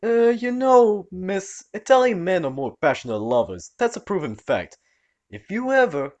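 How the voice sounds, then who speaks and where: A man's voice: medium pitch, sing-song, moderate pace, lo-fi sound, close to an everyday voice. A man voicing a crude wannabe gangster in his thirties with a put-on old-time mobster accent. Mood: blustering.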